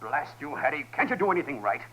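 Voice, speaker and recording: An American actor playing a hot-tempered, callous outlaw in a 1950s radio western, with rough, rustic speech. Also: male voice, fairly high pitch, very animated, fast, bright, forceful tone, exaggerated voice. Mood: agitated, angry. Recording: noisy vintage radio recording.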